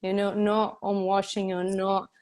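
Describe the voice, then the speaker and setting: A woman from Brazil with a Brazilian accent, hosting a live online conversation in halting, earnest speech, searching for her words. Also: medium pitch, completely flat delivery, a little mellow. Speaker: host. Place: home in Spain. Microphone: video call.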